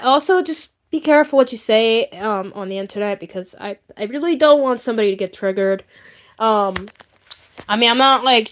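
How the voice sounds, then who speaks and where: High-voiced young woman, rambling. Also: fairly bright tone. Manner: rambling, hesitant, often repeating herself. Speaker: live streamer. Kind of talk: casual live stream chat.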